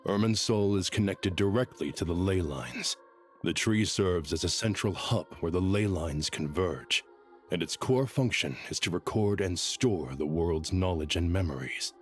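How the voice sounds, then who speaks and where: An actor playing a grave, composed male scholar in a video game, speaking in measured, formal sentences. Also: sits low. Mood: grave, explanatory. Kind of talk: scripted video game voice acting. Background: background music.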